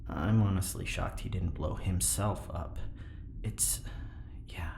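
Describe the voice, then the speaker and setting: A man voicing a weary, pessimistic male engineer who talks in a dry, sardonic way with low muttered asides. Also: takes it slowly, creaky, echoey room, whispered, exaggerated voice. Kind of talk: audio drama acting.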